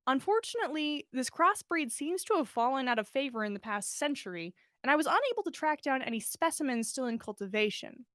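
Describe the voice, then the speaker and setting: Storybook male herbologist read by a woman: earnest, wordy, courteous, high-pitched, close dry sound. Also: big pitch swings, a little fast, few pauses, bright, forceful tone, no room sound, heavily acted. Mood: earnest, formal. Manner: formal, wordy, polite. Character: earnest, bookish, absent-minded adult male herbologist.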